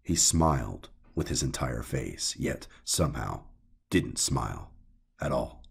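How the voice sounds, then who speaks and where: A male narrator reads dark fiction in an extremely low, very husky and gravelly voice. His delivery is slow, measured and brooding, broken by deliberate pauses. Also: very animated. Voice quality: gravelly, raspy. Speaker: audiobook narrator. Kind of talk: dramatic scripted horror narration.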